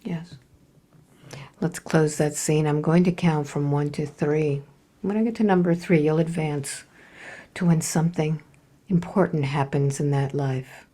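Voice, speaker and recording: A woman guiding a hypnosis session as a therapist, giving measured prompts, brief questions and soft, sparse acknowledgments. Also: low voice.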